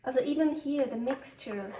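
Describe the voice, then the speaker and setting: A woman's voice: high voice, moderate pace, mellow. Swiss lady w californian-ish english, rambly cooking vlog, mic kinda far off, bit of noise. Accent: Swiss-accented Californian English. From Switzerland. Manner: rambling, self-deprecating, exclaiming as things go wrong. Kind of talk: casual unscripted kitchen chat while cooking. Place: home kitchen. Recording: roomy, distant mic, faint noise.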